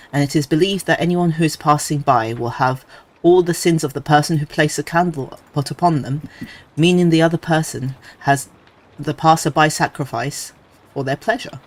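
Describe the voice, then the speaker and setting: A male streamer reading creepy stories aloud, with relaxed, chatty asides between them. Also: fairly high pitch, moderate pace.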